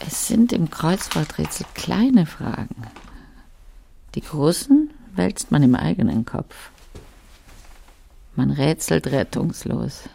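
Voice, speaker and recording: Older wife brooding in a radio play, gravelly and husky, sardonic, bitter. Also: female voice, sits low, lively intonation, slow, long pauses, dark tone, exaggerated voice. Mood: resigned. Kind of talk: literary radio drama monologue.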